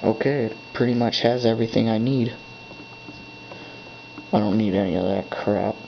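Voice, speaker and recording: A man's voice: fairly low pitch, moderate pace, gravelly. A man thinking aloud as he sets up a device at his desk, muttering to himself while pressing buttons. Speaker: hobbyist setting up a device. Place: indoors at a desk. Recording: dull lo-fi camera audio with faint hum.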